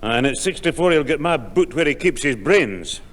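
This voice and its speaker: A man's voice: a little high, lively intonation, moderate pace, lightly acted. An actor playing a grumpy, incredulous old grandfather who grumbles and exclaims in a 1960s radio sitcom. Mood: scornful. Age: older. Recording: echoey old radio recording.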